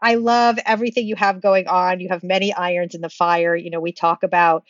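A woman's voice: on the low side, little pitch movement, fairly quick, dry recording, dull audio. A woman hosting an interview podcast, putting questions to a guest and addressing listeners. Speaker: podcast host.

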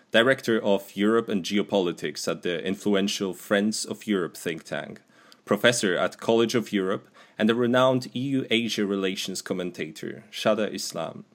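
A man hosting an interview podcast, posing questions in a formal, courteous manner. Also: fairly low pitch. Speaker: podcast host.

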